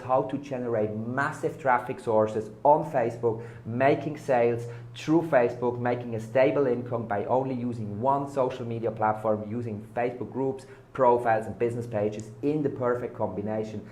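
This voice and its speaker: A man's voice: mid-range voice, darkish tone. A man with a German accent, a German native speaker, giving a hyped, salesy marketing vlog full of repeated buzzwords. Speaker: online marketing vlogger. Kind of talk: casual direct-to-camera sales talk. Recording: echoey room.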